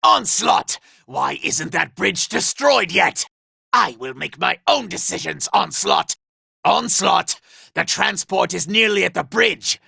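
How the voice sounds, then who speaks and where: Screechy gravelly evil robot commander from a game, super vain and petulant, really piercing and swoopy. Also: male voice, sits high, lively intonation, takes it slowly, pushed and bright, no room sound, clean studio sound, full character voice. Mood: impatient. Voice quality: raspy, gravelly. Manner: imperious, theatrical, petulant. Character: scheming, vain, power-hungry robot commander, male villain. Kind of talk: video game villain voice acting.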